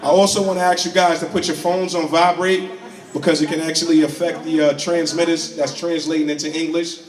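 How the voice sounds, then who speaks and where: A man hosting a public meeting, giving informal, halting instructions and rephrasing as he goes. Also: a little high, little pitch movement. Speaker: meeting host. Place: large indoor community hall. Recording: echoey hall, distant microphone.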